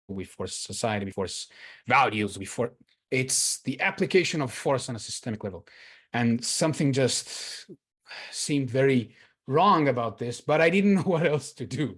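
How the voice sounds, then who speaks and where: A man from Israel speaking as a participant in an online group discussion, earnest and reflective, growing emphatic on his key points, over a video call. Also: mid-range voice. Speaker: workshop participant. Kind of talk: personal sharing in a group discussion.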